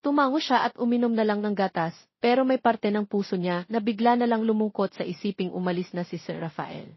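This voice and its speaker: A woman's voice: mid-range voice, brisk, no room sound, muffled recording. A woman narrating a romance story from a script, as a storyteller.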